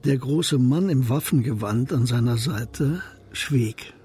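A man's voice: medium pitch, takes it slowly, warm tone, slight background noise. A man narrating a German radio crime play in a scripted storytelling style.

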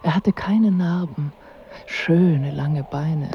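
An actress playing a languid, coolly ironic royal wife in a radio drama, with dry and formal diction. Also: female voice, low-pitched, takes it slowly, dull audio, slight background noise. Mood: evasive, wistful. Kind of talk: literary radio drama acting.

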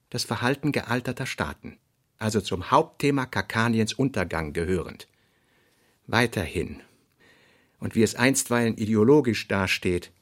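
A man's voice: medium pitch, dry recording, clean studio sound. A man reading scripted literary narration for a radio play.

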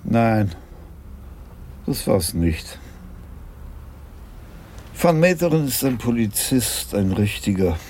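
Radio crime drama police chief: low, mellow voice, dry, unhurried musing manner. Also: male voice, very animated, slightly rough, heavily acted. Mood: amused, reflective. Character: senior Dutch police commissioner, dry, amused and reflective. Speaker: actor in a radio play. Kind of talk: 1980s German radio crime drama acting.

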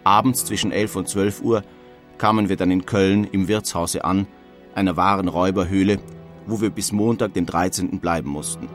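A man's voice: low-pitched, fairly even intonation, normal speed, faint music behind, natural voice. An actor playing a plain-spoken German emigrant who earnestly reads his old-fashioned letter home in a radio feature. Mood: earnest recounting. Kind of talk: dramatised reading of historical letters.

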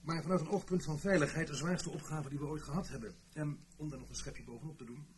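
Actor as earnest intelligence officer, noisy recording. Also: male voice, on the high side, fairly even intonation, lightly acted. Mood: grave, explanatory. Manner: explanatory, formal, measured. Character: earnest British intelligence officer explaining a threat, male. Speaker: intelligence briefer. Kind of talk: Dutch radio play acting.

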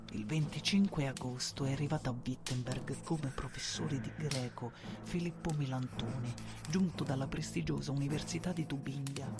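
A man's voice: medium pitch, vocal fry, lightly acted. A man acting a devoted, servile informer who formally and deferentially reads out secret reports to his lord in a radio drama. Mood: calculating, earnest. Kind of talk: radio drama letter narration.

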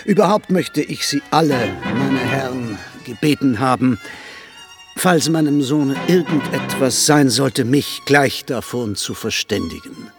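A man plays a middle-aged court councillor in a radio drama, a courteous father who speaks formally and urgently. His mid-pitched voice sits over clearly audible music. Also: a little slow, close to an everyday voice. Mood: courteous, earnest. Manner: formal, polite, urging. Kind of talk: German radio drama acting.

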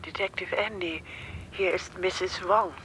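A performer playing a cryptic, foreboding woman caller like a seer, deliberate and ominous, in a radio drama. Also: mid-range voice, fairly even intonation, pushed and bright, slight background noise, poor audio. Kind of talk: naturalistic German radio drama acting.

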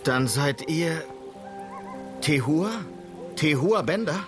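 A man's voice: a little high, lively intonation, takes it slowly, music in the background. An actor playing a composed, courteous but firm frontier policeman in a German western radio drama. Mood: calm, probing.

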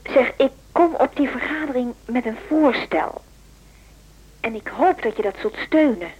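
High-voiced, pushy young woman. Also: animated, a little slow, a little mellow, slightly rough, lo-fi sound, full character voice. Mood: pointed, insistent. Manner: chatty, insistent. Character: brisk, pushy younger woman activist, informal and scheming. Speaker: actor in radio play. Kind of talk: Dutch radio drama dialogue.

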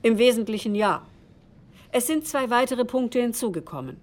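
A woman's voice: a little high, animated, moderate pace, lightly acted. A woman playing a factual, precise explainer of legal text in radio drama dialogue. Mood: matter-of-fact.

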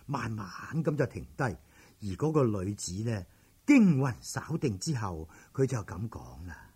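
A man with a Cantonese accent telling a story in an animated, colloquial, traditional style, with a raspy voice. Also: lowish voice, measured pace. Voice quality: very husky, raspy. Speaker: storyteller, narrator.

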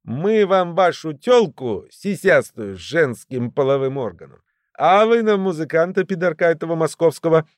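A cocky criminal thug in an audiobook, acted out by a man. The voice is pushed high and loud, jeering and crude, full of confident menace, with a dry sound. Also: very animated, moderate pace, no room sound. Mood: mocking, threatening.

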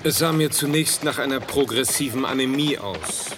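An actor playing an earnest, courteous, solicitous doctor in a German radio play, formal and measured. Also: male voice, medium pitch, noisy background, close to an everyday voice. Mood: earnest, concerned.

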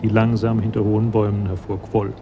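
An actor serving as the composed narrator of a German radio crime drama, reading scripted prose. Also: male voice, lowish voice, flat delivery, quick pace, highs cut off, a little hiss or hum, lightly acted. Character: composed storyteller of a police tale.

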